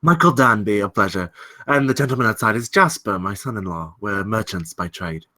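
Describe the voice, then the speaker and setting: An actor as a well-spoken, courteous English merchant. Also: male voice, fairly low pitch, animated, fairly quick, bright, forceful tone, dry recording. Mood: genial, polite. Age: middle-aged. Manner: well-spoken, florid, polite. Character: courteous middle-aged English merchant with inviting smile. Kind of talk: screenplay table read.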